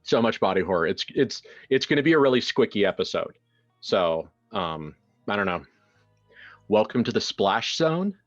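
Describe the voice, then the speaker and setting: A man with a low voice talks as a game master, casual and wry, improvising as he goes. The sound is slightly dull and lo-fi, with music playing behind him. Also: very animated, moderate pace. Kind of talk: casual improvised introduction and horror game narration.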